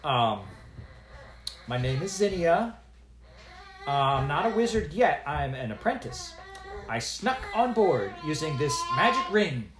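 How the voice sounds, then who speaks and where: Man voicing a nervous young girl mage in a storybook, high and halting, lively. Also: reverberant. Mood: flustered. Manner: hesitant, with ums and uhs. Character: young human girl wizard apprentice, flustered.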